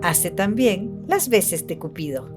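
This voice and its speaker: A woman's voice: lowish voice, lively intonation, slow pace, over loud music. A Venezuelan woman hosting a travel vlog, interviewing people on location. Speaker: host interviewing locals.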